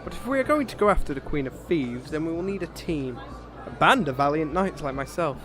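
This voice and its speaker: An actor with a British accent playing a pompous, oblivious gentleman knight in a comedic fantasy audio drama. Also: a little high, lively intonation, brisk, faint music behind. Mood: eager, self-satisfied. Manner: pompous, theatrical, chatty.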